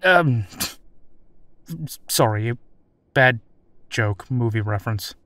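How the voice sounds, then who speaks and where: A man playing a calm, courteous military captain conducting an interview in an audio drama, conversational and slightly hesitant. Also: medium pitch, slow pace, dry recording. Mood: awkward, dry.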